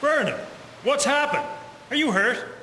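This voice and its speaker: A man's voice: very high voice, animated, a little slow. An actor playing a concerned, grateful father in an audio drama, earnest and used to giving orders. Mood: worried, urgent. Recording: reverberant, faint noise and clicks.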